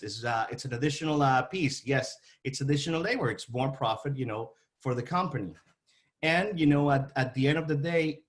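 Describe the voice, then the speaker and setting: Halting man explaining his trade. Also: mid-range voice. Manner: halting, repetitive, searching for words. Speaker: audio-visual installation company representative. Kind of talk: interview answers, explanatory industry talk.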